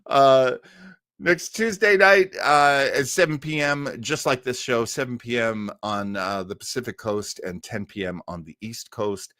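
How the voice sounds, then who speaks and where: A man's voice: medium pitch, unhurried, sharp tone, dry recording, studio quality. A man hosting a live web show, chatting casually to his viewers in an enthusiastic, rambling way with playful asides. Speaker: host of a live web show on old-time radio. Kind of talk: casual livestream chat to viewers.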